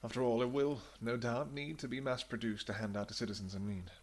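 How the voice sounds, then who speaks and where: A man playing a tender, regal vampire king in a romantic fantasy audio roleplay, speaking softly and courteously with a halting delivery. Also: mid-range voice, fairly even intonation, close to an everyday voice. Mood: affectionate, relaxed. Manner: soft, courtly, hesitant, halting phrasing. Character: tender male vampire king, winged, regal and devoted lover.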